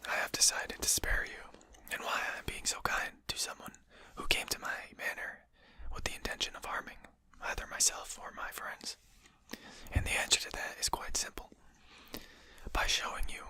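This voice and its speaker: Casting: performer playing a genteel vampire host for ASMR roleplay, crisp bright whisper, formal, polite, deliberate. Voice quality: breathy unvoiced whisper. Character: courteous, genteel vampire host lecturing a captured hunter.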